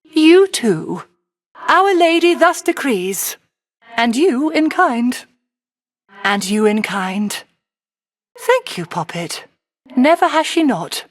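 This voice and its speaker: A woman voicing a whimsical, doting sorceress in a video game, with an unhurried, lilting delivery and old-fashioned genteel phrasing. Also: high voice, very animated, full character voice. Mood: welcoming, blessing. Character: whimsical sorceress aunt living alone on her isle, doting, dreamy. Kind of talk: theatrical video game character acting.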